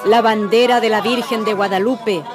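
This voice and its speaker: A woman's voice: mid-range voice, fairly even intonation, moderate pace, a loud crowd. A woman reading scripted narration for a radio drama.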